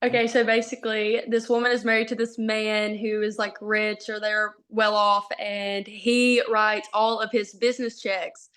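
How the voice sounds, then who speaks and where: A woman's voice: mid-range voice, flat delivery, moderate pace, few pauses, a bit bright, clear, resonant tone. Giggly young woman from Arkansas. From Arkansas, USA. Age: young adult. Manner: giggly, chatty, peppered with "like". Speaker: podcast guest, college softball pitcher. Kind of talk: casual interview chat.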